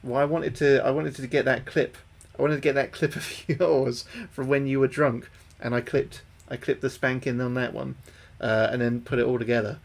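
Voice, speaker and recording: A man's voice: mid-range voice, steady tone, moderate pace, gravelly, few pauses. A young man livestreaming a game, thinking aloud to his chat, sweary and repeating himself. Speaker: livestreaming gamer. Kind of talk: casual gameplay commentary to chat.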